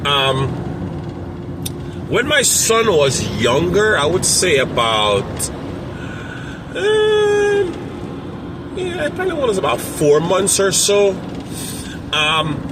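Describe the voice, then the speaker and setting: A man talking casually to camera as a parent coach, rambling with many fillers and restarts, with a strong, loud voice. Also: sits high, huge pitch swings, slow pace, pauses often, noisy background.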